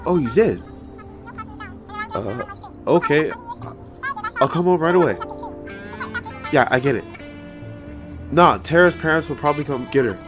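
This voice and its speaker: A woman playing a dry, sardonic high-school hero in an amateur superhero audio drama. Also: animated, measured pace, pauses often, music in the background. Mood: uneasy, casual.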